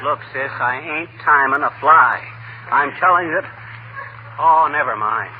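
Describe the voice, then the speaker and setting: A man's voice: on the high side, a little slow, lightly acted. An actor playing a boastful, folksy married man who wisecracks with exasperation in a vintage radio comedy. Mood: exasperated, folksy. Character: blustery, boastful married man telling tall tales. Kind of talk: 1940s radio comedy acting. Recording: tinny, noisy old radio recording.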